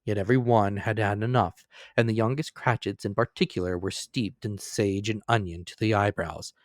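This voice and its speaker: A man's voice: lowish voice, moderate pace, dry recording, studio quality. A man narrating a classic story as a dramatic reading, lifting and dropping his voice for emphasis. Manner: expressive, rising and falling for emphasis.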